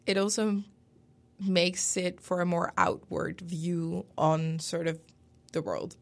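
Dutch woman, native Dutch speaker, young adult, gravelly voice, hedging, interview guest. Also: on the low side, slow pace. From Netherlands. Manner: thoughtful, many fillers and hedges. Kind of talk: casual conversational interview.

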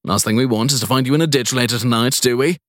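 Man voicing a stern, suspicious policeman, raised pitch. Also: quick pace, fairly bright tone, no room sound, clean studio sound, lightly acted. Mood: irritated, commanding. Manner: clipped formal commands ending in "sir". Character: stern, suspicious male police officer, curtly polite. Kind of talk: audiobook crime story character voice.

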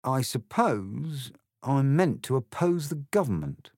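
A man's voice: mid-range voice, very animated, slow pace, a little mellow, dry recording, studio quality, voice pushed up, full character voice. Man voicing a languid, sleepy, bored caterpillar. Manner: languid, drawling, unimpressed. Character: languid, bored male caterpillar smoking a hookah.

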